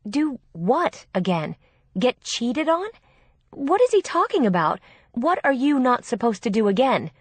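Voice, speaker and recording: A woman's voice: fairly high pitch, animated, a little slow, no echo, voice pushed up. Woman acting an exasperated, blunt protective sister. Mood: angry. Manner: forceful, blunt, arguing.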